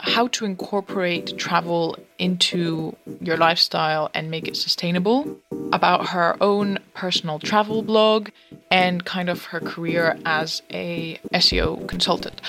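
A woman's voice: lowish voice, steady tone, a little slow, music in the background. A woman hosting a podcast interview in a casual way, with frequent "uh"s and restarts. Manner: frequent filler words and restarts. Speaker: podcast host. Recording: dull lo-fi recording.

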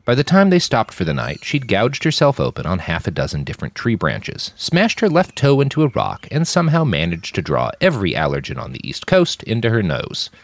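A man's voice: fairly low pitch, huge pitch swings, a little fast, few pauses. A man reading scripted fiction as a podcast narrator, in a dramatic storytelling style, recorded up close.

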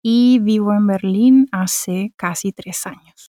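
A woman with a Chilean accent from Santiago, answering interview questions in an unhurried way with frequent filler words. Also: mid-range voice, dark tone, dry recording. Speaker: interview guest. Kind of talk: casual conversational answers.

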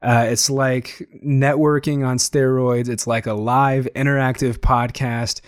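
A young man hosting his own podcast, talking casually and eagerly with a slightly hoarse, tired voice. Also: mid-range voice, level tone, unhurried, dry recording, studio quality. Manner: chatty, enthusiastic, frequent fillers. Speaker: podcast host. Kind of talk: casual solo podcast monologue.

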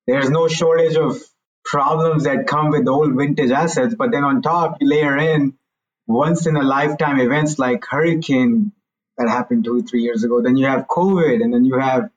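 A man's voice: medium pitch, recorded from a distance, rough recording. A man in his forties from India, a guest telling his story frankly in a casual interview. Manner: candid, hedges with "you know, look".

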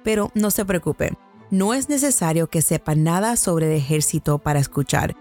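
A woman's voice: on the low side, moderate pace, darkish tone, faint music behind. American woman hosting a true crime podcast. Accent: American English. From United States. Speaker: podcast host.

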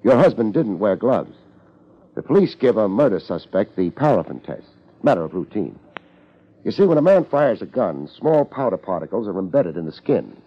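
Deep, husky, wry private eye. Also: male voice, moderate pace, heavily acted. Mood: quiet, probing. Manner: deadpan, understated. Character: laid-back, dryly wry male private detective. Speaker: lead actor in radio detective drama. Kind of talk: 1950s hardboiled radio drama acting. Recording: muffled 1950s radio recording.

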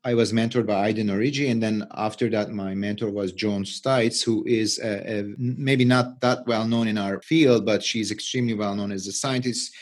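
A middle-aged man with a Turkish accent, giving unscripted interview answers as a scientist, halting and often repeating words. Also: on the low side, fairly even intonation. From Turkey. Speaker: interview guest, research scientist and physician.

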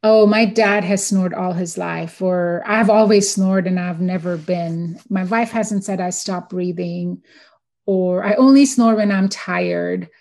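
A woman's voice: lowish voice, measured pace, a little mellow. A woman from India, a dentist guest explaining things in a casual interview with quoted examples.

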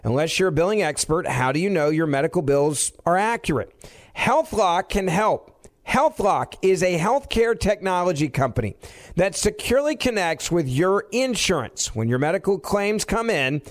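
Un hombre que lee la narración de un anuncio comercial con guion. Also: male voice, sits high. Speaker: advertisement announcer. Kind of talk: scripted commercial narration.

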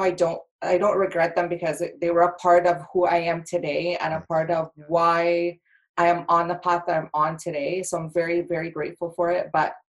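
Chatty woman from Egypt, lowish voice. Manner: chatty, laughs often, many fillers. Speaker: podcast guest. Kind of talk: casual interview chat.

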